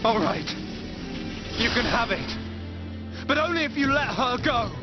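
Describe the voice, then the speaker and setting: Young British hero, very high voice, swooping, impassioned, muffled game audio. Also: male voice, sing-song, unhurried, over music, heavily acted. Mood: earnest, pleading. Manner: intense, emotional delivery. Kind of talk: video game cutscene acting.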